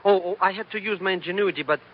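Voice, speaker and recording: An actor playing an excitable, bumbling comic sidekick with a flustered, over-eager manner in 1940s radio style. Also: male voice, sits high, racing. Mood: flustered, defensive. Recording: muffled, noisy old radio recording.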